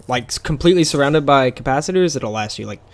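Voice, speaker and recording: A man's voice: medium pitch, animated, fast talker. A man with a scratchy, tired voice, talking casually through a game and rambling with plenty of fillers. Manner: rambling, with many fillers and small laughs. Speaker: gameplay commentator.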